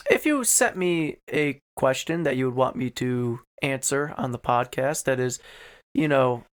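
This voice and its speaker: A man's voice: medium pitch, expressive, a little slow, clear, resonant tone. A man co-hosting a podcast in casual chat, with an informal, jokey, easygoing way of talking. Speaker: podcast co-host.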